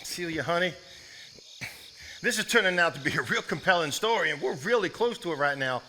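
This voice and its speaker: An actor in a live stage play playing a protective, authoritative head of household with a folksy manner. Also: male voice, fairly high pitch, lively intonation, a little fast, pushed and bright, a little hiss or hum. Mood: gently doubtful. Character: protective, stern but tender head of household.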